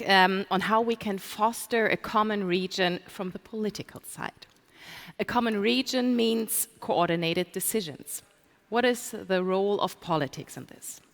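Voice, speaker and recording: A woman's voice: fairly low pitch, fairly bright tone. A woman hosting a panel discussion as conference moderator. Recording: echoey hall reverb.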